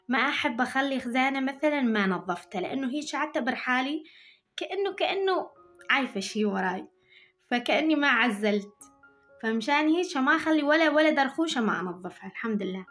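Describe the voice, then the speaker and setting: A young woman with an Iraqi Arabic accent talks fast and chatty to her viewers as she cleans her kitchen. Her voice sits high, and soft music plays faintly behind her. The camera sits on the counter a little away from her. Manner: chatty, talking to viewers while doing housework. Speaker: vlogger. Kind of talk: casual chat while cleaning. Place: home kitchen, indoors.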